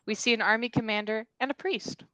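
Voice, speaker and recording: A woman's voice: on the high side, fairly even intonation, a little fast, sharp tone, no room sound, low-quality recording. A woman reading stage directions as narrator at a comedy table read.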